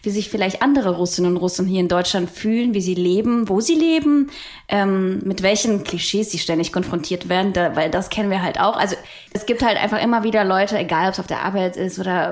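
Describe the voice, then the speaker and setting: A young woman co-hosting a podcast in casual chat, relaxed and emphatic, often chiming in with agreement. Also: mid-range voice, fairly quick. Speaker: podcast co-host.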